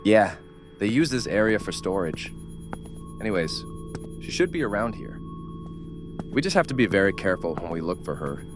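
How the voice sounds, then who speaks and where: A man's voice: on the low side, big pitch swings, normal speed, long pauses, over music. A man playing a jaded, stern but protective survivor in a horror audio drama, with a blunt, commanding manner. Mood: wary.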